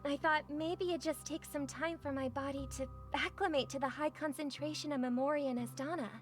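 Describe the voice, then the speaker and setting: A woman voicing a graceful young female singer, speaking softly and with calm earnestness. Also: sits high, a little fast, soft background music, natural voice. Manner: soft, composed, earnest. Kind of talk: game voice acting.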